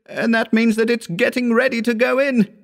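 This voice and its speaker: A man's voice: very high voice, flat delivery, moderate pace, voice pushed up. A man voicing a deranged, terrified man who babbles in frantic, sobbing despair, as in a dramatic horror audiobook. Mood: feverish horror.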